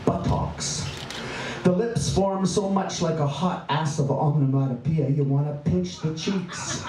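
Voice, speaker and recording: A man performing his spoken word poetry live, with a playful, wry and bawdy delivery, jumping in pitch and stretching out words. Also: a little slow, noisy background. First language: English. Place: performance venue. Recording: echoey room, voice from far off, faint ambience.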